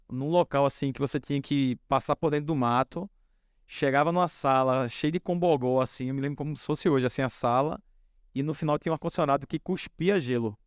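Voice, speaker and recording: A man in his forties from Northeast Brazil, a company founder telling stories from his early days in a relaxed, anecdotal way. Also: on the high side, smooth, no room sound, studio quality. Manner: relaxed anecdotal, many fillers like "né". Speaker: founder and guest speaker. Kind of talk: storytelling on a stage talk.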